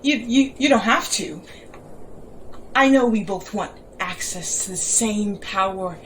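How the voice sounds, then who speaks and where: A woman's voice: medium pitch, unhurried, fairly bright tone, far from the mic, slight background noise, close to an everyday voice. A woman in an audio drama playing a fierce, scornful, theatrical villain. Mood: fearful bargaining. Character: fierce, theatrical female villain, cornered and commanding.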